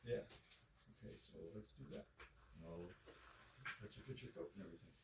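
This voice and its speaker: A man as a doctor giving calm, instructive advice and checking in with the patient. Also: fairly low pitch, brisk. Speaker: naturopathic doctor. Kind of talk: medical consultation. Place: clinic office, indoors. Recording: roomy, distant, faint noise.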